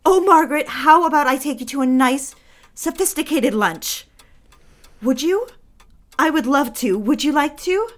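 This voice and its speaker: A woman's voice: sits high, animated, moderate pace. A woman playing an eager, lonely society housewife in a period audio drama, genteel and coaxing in manner. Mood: gushing, eager.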